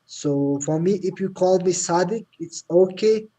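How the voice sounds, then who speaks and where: A man's voice: high voice, unhurried, mellow, rough recording. A man from Pakistan with a Pakistani accent, a Pashto speaker, talking hesitantly with many fillers on a video call. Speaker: chat participant. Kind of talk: casual video call chat.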